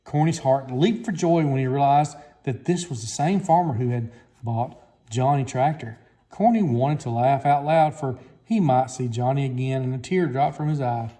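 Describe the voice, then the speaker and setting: A man's voice: mid-range voice, moderate pace, warm tone. A man reading a children's story aloud as a scripted narration. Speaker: storybook reader.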